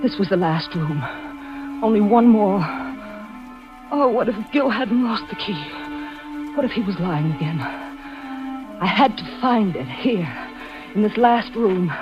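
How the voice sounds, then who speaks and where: A woman's voice: medium pitch, lively intonation, takes it slowly, long pauses. A woman playing an anxious, devoted wife turned amateur sleuth in old-time radio suspense, with breathless, melodramatic urgency. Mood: tense, fearful. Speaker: actress narrating and playing the lead. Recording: muffled vintage radio recording with music.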